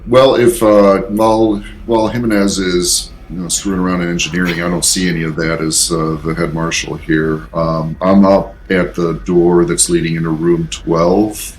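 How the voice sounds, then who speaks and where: A man with a low voice talks as a player at a tabletop role-playing game. His delivery is casual and deliberate, with stops to gather the next phrase. There is a little noise behind him. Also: little pitch movement, low-quality recording.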